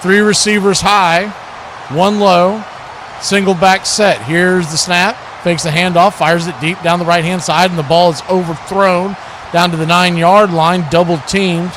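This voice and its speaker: Man, American, high-pitched sports play-by-play caller, live stream host, noticeable background noise. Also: little pitch movement, unhurried.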